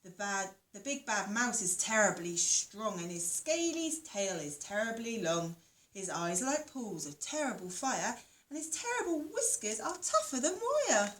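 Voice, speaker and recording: A woman voicing a big, gruff monster father in a storybook, telling a scary tale with drama. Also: medium pitch, big pitch swings, measured pace, fairly bright tone, roomy sound, slight background noise, low-quality recording. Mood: spooky. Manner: dramatic, spooky.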